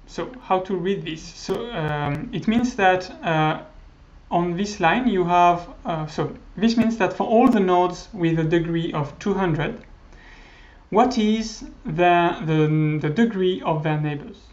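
A man's voice: sits high, slow pace. A man in his thirties whose first language is French, giving a recorded university lecture over slides, hesitant, with many fillers and restarts. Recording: slightly dull lo-fi audio.

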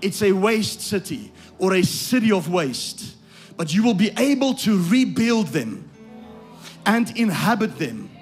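A male pastor with a high voice preaches live from a church stage mic, softly backed by faint music. He moves from quiet asides to loud shouted peaks, repeating his phrases and checking that the crowd follows. His voice is going hoarse from the strain. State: losing his voice. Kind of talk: live sermon to a congregation.

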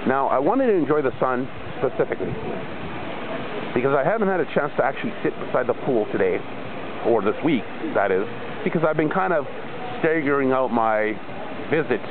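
A middle-aged man chatting casually by an outdoor resort pool, filming himself on a phone held at arm's length, rambling and repeating himself with many fillers. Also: mid-range voice. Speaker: travel vlogger. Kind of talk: casual rambling vlog monologue. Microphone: phone camera held low at arm's length. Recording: tinny phone audio, outdoor noise.

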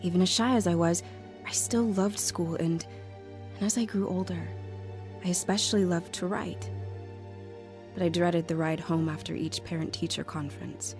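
A woman's voice: lowish voice, steady tone, darkish tone, natural voice. A woman playing a grown woman who reflectively narrates her lonely childhood in an audio drama. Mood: wistful, reflective. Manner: reflective, measured storytelling. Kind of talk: scripted first-person drama narration. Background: music under the voice.

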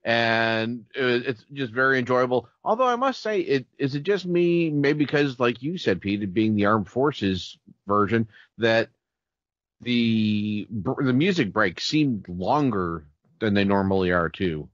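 A man with an average-pitched voice chats as a podcast panelist. He tells things in an animated, informal way, recorded dry with slightly muffled sound. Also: unhurried, no room sound. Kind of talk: casual chat. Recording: dry, slightly dull audio.

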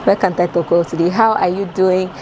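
A woman's voice: lowish voice, fairly even intonation, a little fast. Young Nigerian woman vlogging, noisy background. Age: young adult. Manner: informal, addresses viewers directly. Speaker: vlogger, student. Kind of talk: casual chatty vlog. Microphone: camera at arm's length. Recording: background noise.

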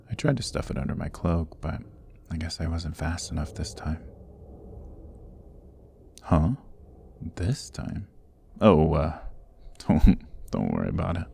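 Casting: male actor as sardonic dungeon rogue for fantasy audio roleplay; extremely low voice, unhurried, wry and teasing. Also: vocal fry, a little mellow, slight background noise, exaggerated voice. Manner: wry, teasing, often dropping toward a murmur. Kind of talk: ASMR fantasy roleplay acting.

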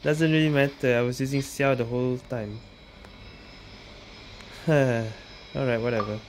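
A woman's voice: rumbling low, steady tone, normal speed, noisy background. A woman giving casual, offhand gameplay commentary in short reactions as she streams a game. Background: game music.

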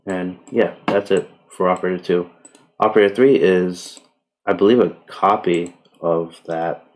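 Guy with a deep flat voice walking thru a tutorial, casual and unhurried. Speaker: tutorial presenter. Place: indoors, voice-over on screen recording.

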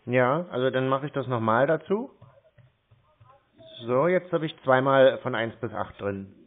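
A man talks over a muffled, tinny phone line at a middling pitch. He sounds hesitant and a little slow on the uptake, rambling through his explanations in casual conversation. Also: animated. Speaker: caller posing as a confused customer.

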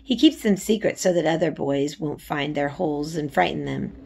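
A woman voicing an earnest, outspoken young girl in a storybook, explaining things in an animated way. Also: low voice, moderate pace, vocal fry, breathy edge, exaggerated voice. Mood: enthusiastic.

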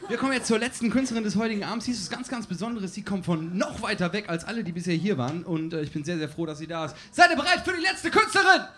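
A man's voice: high voice, lively intonation, fast, few pauses. A young man in his twenties hosting a comedy show, chatting loosely on a small stage into a handheld mic. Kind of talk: stand-up host banter. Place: small laundromat stage before a live audience. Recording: live audience crowd noise. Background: crowd laughter.

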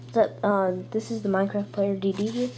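Young vlogger on noisy camera audio, high voice. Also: flat delivery, fairly quick, dark tone. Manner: informal, rambling. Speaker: video reviewer. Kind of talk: casual handheld gadget review. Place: small room at home, indoors. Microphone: handheld camera's built-in mic.

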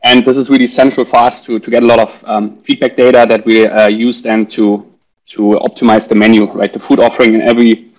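A man's voice: mid-range voice, flat delivery, a little fast, rough recording. A man in his thirties explaining his company as a panelist on a video call, in short hesitant phrases. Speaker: startup executive, panelist.

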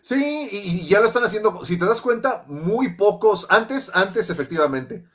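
A man with a Mexican Spanish accent co-hosting a fan podcast over a video call, talking in a lively way with quick asides and stammered restarts. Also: sits high. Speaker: podcast co-host.

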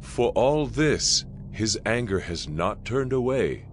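A man narrating scripture in a dramatized, scripted reading, with solemn, measured declamation. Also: on the low side, lively intonation, slight background noise. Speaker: Bible narrator. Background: soft music.

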